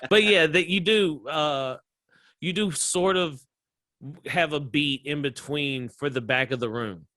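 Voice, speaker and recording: A man with a Southern American accent, a comedian telling animated stories in a casual interview and laughing often. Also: fairly high pitch, slow, dry recording. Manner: animated storyteller, laughs often, acts out voices. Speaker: comedian guest.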